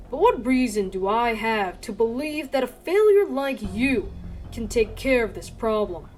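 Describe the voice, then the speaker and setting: A woman playing a skeptical, hard-nosed army colonel in a dramatic fan dub, deliberate and condescending. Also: on the high side, darkish tone, close to an everyday voice. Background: background music.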